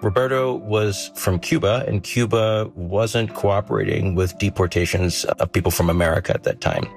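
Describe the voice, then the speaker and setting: A man reporting a story on a news podcast, explaining it in a measured, storytelling way. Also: on the low side, steady tone, faint music behind.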